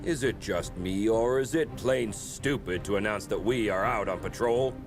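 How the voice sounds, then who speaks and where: An actor playing a gruff, sardonic superhero cop in a comic-book video game. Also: male voice, lowish voice, moderate pace, over music, natural voice.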